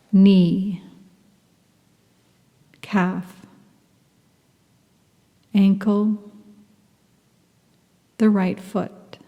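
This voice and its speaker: A woman guiding a yoga nidra meditation, speaking softly and soothingly, sometimes dropping into a half-whisper. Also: mid-range voice, long reverb tail, dull audio. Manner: soft, slow, soothing, half-whispered at times. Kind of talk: guided meditation.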